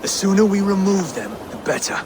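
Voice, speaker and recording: A man voicing a soft, anxious but resolute young lord in a video game, earnest, formal and uneasy in manner. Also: sits high, lively intonation, a little fast, noisy background. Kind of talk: video game dramatic acting.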